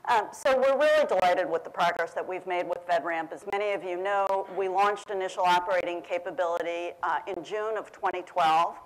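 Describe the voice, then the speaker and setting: Loud-voiced woman on a conference panel. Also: lowish voice, fairly quick, hardly any pauses, low-quality recording. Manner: says she has a loud voice. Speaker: government program official on a panel. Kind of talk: prepared panel remarks. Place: large conference room. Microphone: panel microphone.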